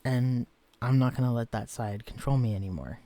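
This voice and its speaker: An actor playing a shrunken man who is tender but guarded, talking in an earnest, vulnerable, softly confessional way in a scripted audio drama. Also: mid-range voice, moderate pace, close to an everyday voice. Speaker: romantic lead in an audio roleplay.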